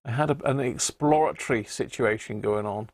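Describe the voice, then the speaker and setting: Man, croaky gravelly voice, mid pitch, dry close sound, casual live-stream chat. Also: normal speed, no room sound. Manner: chatty, greets viewers by name, self-deprecating. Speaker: radio repair livestream host.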